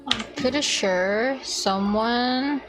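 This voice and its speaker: A woman's voice: on the high side, drawn-out words, over music, poor audio. A woman livestreaming a video game, chatting casually and muttering to herself in short fragments as she thinks aloud. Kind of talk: casual gaming stream chat, thinking aloud.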